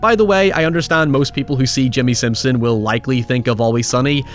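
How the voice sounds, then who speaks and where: A man's voice: medium pitch, quick pace, soft background music. A man narrating a scripted, opinionated video essay as its host, with wry, sardonic asides and casual swearing. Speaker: host of a film retrospective show.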